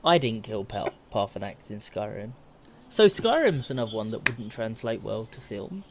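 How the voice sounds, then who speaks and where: A man's voice: on the low side, sing-song, moderate pace, slight background noise. Man in casual gaming podcast chat. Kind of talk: casual, animated group chat.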